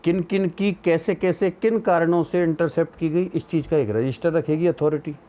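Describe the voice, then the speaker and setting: A man's voice: fairly high pitch, fairly even intonation, normal speed, mellow. An Indian man giving an informal legal explanation, mixing English terms into his speech. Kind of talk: informal spoken legal lecture.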